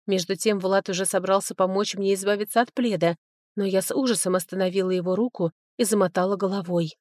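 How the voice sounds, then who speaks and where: A woman's voice: mid-range voice, steady tone, fast talker, a little mellow, no room sound, clean studio sound. A woman reading a first-person story aloud as an audiobook narrator, in a scripted storytelling style.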